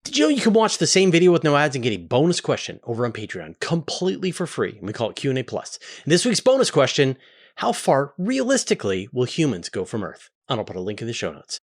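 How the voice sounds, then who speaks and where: Guy hosting a space q&a, average pitch, casual chatty explainer, kinda emphatic and into it. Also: wildly swooping pitch, quick pace. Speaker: host of a space and astronomy Q&A show. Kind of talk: casual explanatory talk to viewers.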